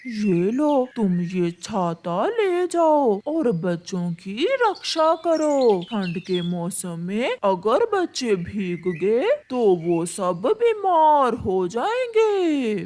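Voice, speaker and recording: A woman's voice: fairly high pitch, sing-song, very slow, hardly any pauses, darkish tone, slight background noise, pitched below the performer's own voice, heavily acted. A woman voicing a kindly, concerned older male crow uncle in a children's cartoon.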